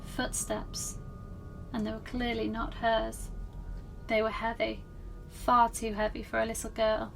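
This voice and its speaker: A woman's voice: a little high, monotone, a little slow, creaky, soft background music. A woman reading a horror story as a dramatic scripted narration. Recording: distant mic with faint background noise.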